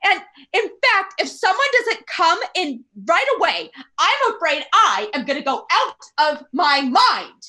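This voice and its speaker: A woman's voice: extremely high pitch, big pitch swings, unhurried, few pauses, bright, forceful tone, full character voice. A woman playing a nervous, demanding, self-pitying invalid wife alone at night in a suspense radio play. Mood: upset, pleading.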